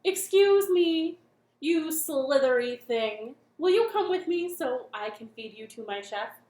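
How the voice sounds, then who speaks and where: A woman voicing a silly, overly polite male monster chef who talks loudly, in a roomy echo. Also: high-pitched, lively intonation, a little slow. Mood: eager.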